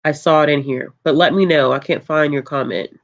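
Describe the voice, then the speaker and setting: A book channel host chatting casually on a livestream, reading out viewer comments in a calm, low voice, relaxed and laughing often with lots of ums. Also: brisk, muffled recording.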